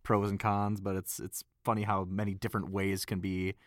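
A man, a voice actor, chatting casually and thoughtfully in a remote conversation, often self-deprecating and quick to laugh. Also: low-pitched, flat delivery, no echo, studio quality.

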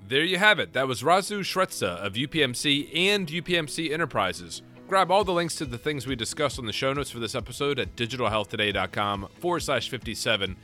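Upbeat podcast host guy, talks fast, bright punchy voice. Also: medium pitch, expressive, faint music behind. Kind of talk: interview podcast.

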